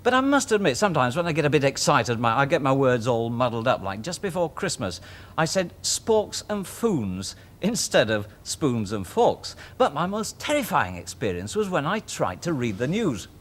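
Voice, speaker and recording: A man's voice: medium pitch, sing-song, normal speed. A man from Halifax with a Yorkshire accent, chatting and narrating on a 1970s children's TV show in a self-deprecating way. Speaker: children's TV presenter. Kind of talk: casual chat and narration. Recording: old 1970s TV archive sound.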